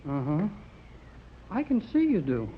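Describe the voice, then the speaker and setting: A young-sounding actor playing an eager, earnest, boyish amateur radio operator in a 1930s radio serial. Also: male voice, fairly high pitch, measured pace. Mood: boyish, bashful. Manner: excitable, earnest, gee-whiz. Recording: dull, noisy 1930s radio recording.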